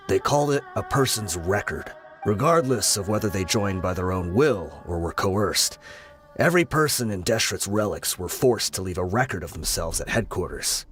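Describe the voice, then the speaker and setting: Actor as a weary, low-voiced male desert brigand. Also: close to an everyday voice. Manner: measured, explanatory storytelling. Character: weary, level-headed desert brigand, patient and regretful. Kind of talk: video game voice acting. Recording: music behind the voice. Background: game music.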